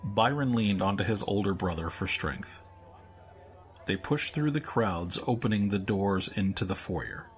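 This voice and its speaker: A man's voice: lowish voice, lively intonation, measured pace, faint music behind. A man reading scripted story narration as the narrator.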